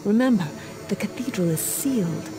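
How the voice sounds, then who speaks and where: A woman's voice: mid-range voice, lively intonation, moderate pace, dark tone, natural voice. An actress playing an urgent, commanding woman in a video game, speaking with grave insistence. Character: urgent, commanding woman plotting a desperate attack. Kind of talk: video game cutscene acting.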